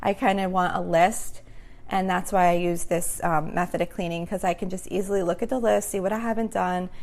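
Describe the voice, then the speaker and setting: A woman's voice: on the low side, fairly even intonation, fairly quick, darkish tone. A woman hosting a casual solo podcast, rambling with lots of "um" and "like", her voice a little worn as she starts to lose it. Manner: rambling, many fillers like "um" and "like". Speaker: podcast host.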